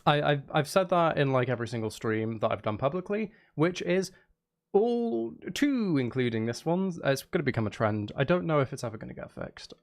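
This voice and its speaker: Man, live streamer, gravelly fry, lively swinging pitch, casual rambling chat, self-interrupting. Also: moderate pace. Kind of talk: casual livestream chat with viewers.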